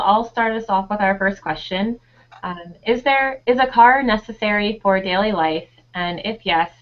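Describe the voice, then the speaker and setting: A woman's voice: medium pitch, dull audio. A woman in her twenties from Delaware, a graduate student on a live Q&A panel, chatty and searching for words with "um" and "like".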